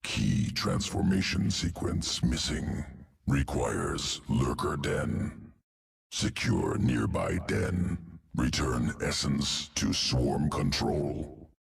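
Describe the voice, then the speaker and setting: A man's voice: a bass voice, expressive, slow, heavily acted. A man voicing a cold, clinical alien creature that engineers genes in a video game, guttural, speaking in clipped telegraphic phrases. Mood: detached, clinical. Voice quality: guttural, gravelly. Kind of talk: video game character dialogue.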